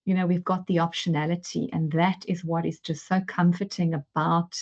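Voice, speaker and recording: A woman's voice: lowish voice, little pitch movement, normal speed, a little mellow, dull audio. A woman from South Africa speaking as an advisor in a webinar discussion, hesitant, with many fillers and repeated words. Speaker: managing director at an advisory firm.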